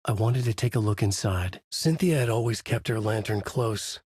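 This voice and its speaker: A brooding, haunted novelist telling a dark thriller in a video game. His voice sits fairly low and has a husky grain. He delivers the narration gravely and deliberately, with noir drama, in a very dry, close sound. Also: no echo. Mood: brooding, uneasy. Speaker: voice actor. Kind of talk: scripted noir horror narration.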